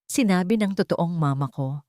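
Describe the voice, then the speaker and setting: A woman's voice: fairly low pitch, expressive, moderate pace, darkish tone, no echo, natural voice. Desperate little girl for audio drama, played by a woman: trembling, emotional, dry sound. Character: desperate young girl pleading for her mother.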